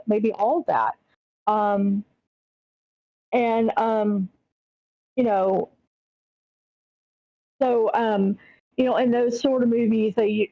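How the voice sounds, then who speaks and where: A woman thinking aloud in casual chat, giving feedback in a halting, tentative way. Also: fairly high pitch, no echo, muffled recording. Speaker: participant giving script feedback.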